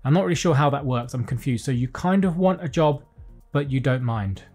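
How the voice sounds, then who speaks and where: A British man in his thirties presenting a scripted finance news roundup from a small home studio, reading headlines briskly and dropping wry jokes between them. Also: medium pitch, expressive, a little mellow, soft background music. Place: small home studio with desk and lights, indoors.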